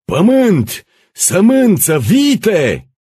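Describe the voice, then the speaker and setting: A man voicing angry, rebellious peasants in a storybook, yelling and chanting demands. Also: sits high, wildly swooping pitch, husky, dry recording, studio quality, pitched above the performer's own voice, full character voice. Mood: roaring anger.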